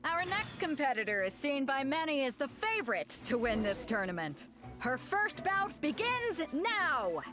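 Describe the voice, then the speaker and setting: The swaggering lady captain of a fantasy game's pirate crew, rallying the deck with lively, teasing confidence over a soundtrack. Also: high-pitched, a little slow, music in the background. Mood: rousing. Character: bold, hearty female sea captain, confident and teasing. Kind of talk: video game character acting.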